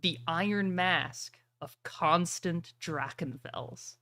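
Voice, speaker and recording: A woman running a fantasy tabletop game as game master, narrating in a dramatic, deliberate storytelling manner. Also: fairly low pitch, fairly bright tone, no room sound.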